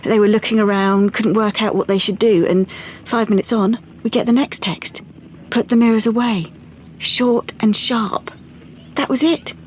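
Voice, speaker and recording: A woman's voice: mid-range voice, steady tone, moderate pace, a little hiss or hum, natural voice. An actress playing a guarded, principled young woman in an audio play, speaking in short clipped phrases. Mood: urgent, intent. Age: young adult. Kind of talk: dramatic monologue and dialogue, audio play.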